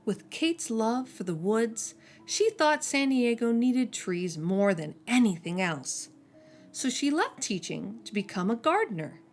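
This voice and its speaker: A woman reading a children's picture book aloud as a storyteller, slowing down and dropping soft and low on each refrain. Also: very animated, soft background music.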